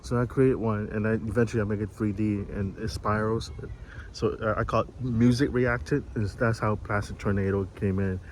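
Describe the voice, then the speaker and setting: Low-voiced man from Vietnam, noisy outdoor recording. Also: steady tone, a little mellow. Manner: halting, with broken-off, repeated phrases. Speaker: vlogger and software developer. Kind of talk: informal unscripted monologue to camera. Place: outdoors, city view behind.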